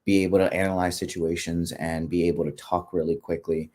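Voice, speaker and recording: A man being interviewed, relaxed and conversational, whose first language is not English. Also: sits low, a little fast. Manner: relaxed, conversational, many fillers and tag questions. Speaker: guest. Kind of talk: casual interview chat.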